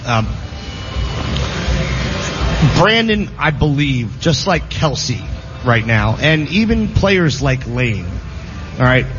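A man's voice: medium pitch, background noise. A man hosting a sports talk show, talking casually with many restarts and fillers. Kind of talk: casual sports radio chat. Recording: slightly muffled broadcast audio. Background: music in the background.

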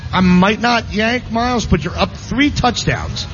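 A man hosting a sports radio show, animated and emphatic in casual talk. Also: high voice, unhurried. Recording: muffled, noisy room recording.

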